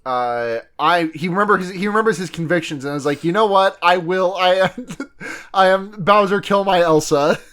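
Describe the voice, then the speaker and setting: A man's voice: high voice, normal speed, fairly bright tone. Rambling young man recapping an anime. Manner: rambling, self-correcting, acts out characters' lines jokingly. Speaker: podcast co-host. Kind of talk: casual chat recapping an anime episode.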